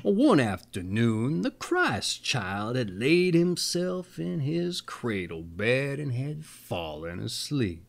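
A man in his thirties tells old fairy tales in a theatrical, folksy way. His pitch leaps up and down dramatically, and he takes his time, stretching words for emphasis. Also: very animated, takes it slowly, gravelly, few pauses. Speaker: storyteller, show host. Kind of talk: expressive fairy-tale reading with folksy asides.

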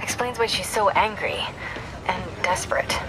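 A woman's voice: mid-range voice, moderate pace, natural voice. A woman playing an earnest, focused young reporter with an American accent, giving a conversational, inquisitive briefing in a video game. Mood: earnest, concerned. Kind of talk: video game dialogue, informative phone briefing. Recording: phone call over noisy city ambience.

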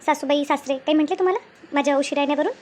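Cartoon family drama, woman acting young daughter-in-law, high pitch, anxious, deferential, colloquial. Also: rapid-fire, a little mellow, a little hiss or hum, natural voice.

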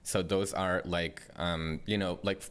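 A young man from Portugal with a very low voice answers panel questions in a bright studio, speaking into a desk mic. He pauses often and fills gaps with "um" and "uh" as he works out what he wants to say. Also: little pitch movement, clean tone. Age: young adult. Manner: hesitant, many fillers. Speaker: student panelist. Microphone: desk microphone.

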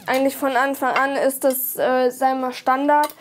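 A woman's voice: sits high, flat delivery, moderate pace, background noise. An eighteen-year-old woman, talking casually and a little hesitantly in a documentary interview. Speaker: documentary participant.

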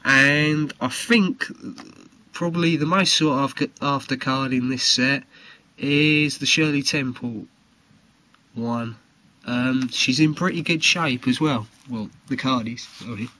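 A man informally presenting collectible cards for sale in a homemade video, speaking haltingly. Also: mid-range voice, pushed and bright. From United Kingdom. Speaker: trading card seller presenting his video. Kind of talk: informal product showcase.

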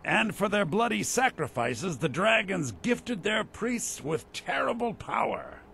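A man's voice: sits high, very animated, a little slow, creaky, bright, forceful tone, a little hiss or hum, exaggerated voice. An actor playing an earnest, commanding Imperial army captain in a fantasy game, speaking formally as he explains his mission. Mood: grave, explaining. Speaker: game character. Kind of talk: scripted fantasy game dialogue.

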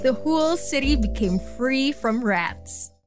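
A woman's voice: sits high, expressive, a little slow, music in the background. A woman narrating a scripted bedtime story for children.

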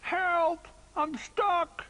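A man's voice: on the high side, big pitch swings, normal speed, creaky, fairly bright tone, breathy edge, pitched above the performer's own voice, full character voice. A man voicing an eager, wide-eyed little boy bear cub in a children's storybook, with childlike, exclaiming earnestness. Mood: panicked.

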